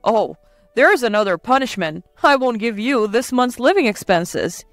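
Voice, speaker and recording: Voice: mid-range voice, animated, moderate pace, a bit bright, no room sound. A performer voicing a domineering, irritable husband in a narrated story, scolding and commanding with contempt. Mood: contemptuous, commanding. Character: domineering, irritable, sneering husband berating his wife. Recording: dry close voice over faint music.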